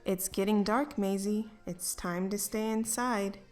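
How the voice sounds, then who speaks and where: A woman reading a mother's part in a family picture-book read-aloud, gently telling her little girl it is bedtime. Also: mid-range voice, fairly even intonation, a little slow, soft background music, lightly acted. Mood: gentle.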